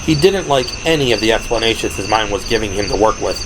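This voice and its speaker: A man's voice: lowish voice, lively intonation, fast talker. A man reading scripted, dramatic audiobook narration as the narrator of an audio drama. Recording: noisy, night insect ambience behind. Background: crickets and ambient sound effects.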